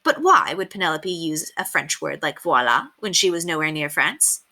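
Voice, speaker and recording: A woman reading a children's book aloud as an expressive, emphatic storyteller. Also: low-pitched, moderate pace. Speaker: read-aloud reader.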